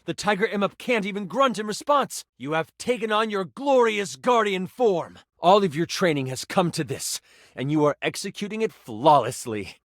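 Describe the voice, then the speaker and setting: Animated, theatrical game master. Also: male voice, high voice, normal speed, dry recording, clean studio sound. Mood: dramatic. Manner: theatrical, with conversational asides. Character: animated personified twenty-sided die running a fantasy game as game master. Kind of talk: dramatic tabletop fantasy narration with improvised asides.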